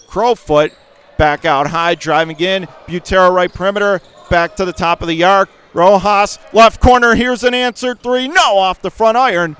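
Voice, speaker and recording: Male play-by-play basketball announcer, fairly high and clean-toned voice, punchy phrasing, excitable on big plays. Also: moderate pace, smooth. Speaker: radio play-by-play sports announcer. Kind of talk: live basketball commentary. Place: indoor school gymnasium during a game.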